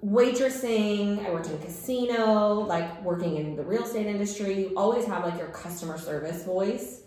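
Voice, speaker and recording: A woman's voice: mid-range voice, moderate pace, mellow. Wry, swearing woman in an echoey room. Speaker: podcast co-host. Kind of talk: casual chat.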